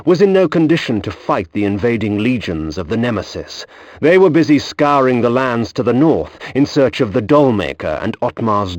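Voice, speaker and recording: An actor playing a proud, disdainful undead nobleman who narrates a video game in bitter, eloquent, measured phrases. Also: medium pitch, no room sound, muffled recording, lightly acted. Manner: haughty, measured, grandiloquent.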